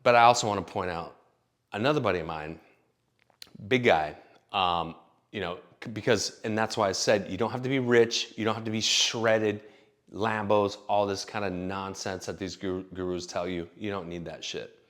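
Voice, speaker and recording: A man's voice: on the low side, fairly even intonation, normal speed, fairly bright tone. A man in his late thirties telling stories in a rambling, profane, casual monologue full of fillers. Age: late 30s. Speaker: vlogger.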